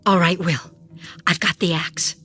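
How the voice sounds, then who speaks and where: A woman's voice: on the low side, fairly even intonation, measured pace, pushed and bright, faint music behind. A woman playing a frightened, wounded wife in a suspense audio drama, fierce and distraught as she faces small intruders. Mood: grimly vengeful.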